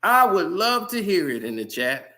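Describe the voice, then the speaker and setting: A man's voice: sits high, sing-song, moderate pace, bright, forceful tone. A man hosting a casual sports commentary channel, ranting heatedly and talking straight to his viewers.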